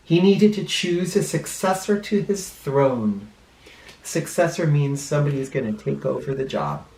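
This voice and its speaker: A male teacher reading a picture book aloud to children expressively, pausing dramatically and explaining words in simple terms. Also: a little high, animated, darkish tone, a little hiss or hum. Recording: roomy, echoing room sound.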